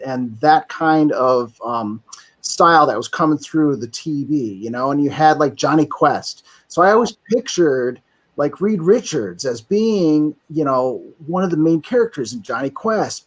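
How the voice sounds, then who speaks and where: Halting man searching for words. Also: on the high side. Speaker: guest, voice actor. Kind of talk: casual podcast chat.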